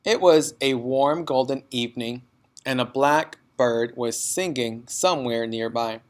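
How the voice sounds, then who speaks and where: A man's voice: mid-range voice, unhurried. A man narrating a children's story as a read-aloud. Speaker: storybook reader.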